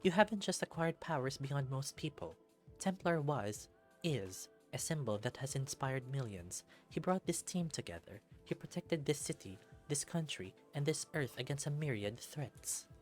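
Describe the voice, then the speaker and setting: A man voicing a stern, formal female bear superhero in a visual novel, speaking in measured, deliberate phrases. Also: mid-range voice, lively intonation, soft background music, voice pushed up, lightly acted. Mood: firm, insistent.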